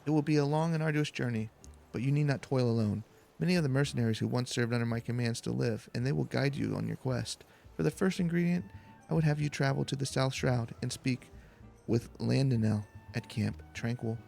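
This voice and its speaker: A man acts out an imperious old-soldier type from a fantasy game, handing down orders in formal, condescending speech. The voice is mid-pitched with a gravelly creak, over faint music. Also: a little fast, a little mellow, close to an everyday voice. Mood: imperious, impatient. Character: haughty, demanding male former mercenary captain giving errands. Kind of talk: fantasy game dialogue read aloud.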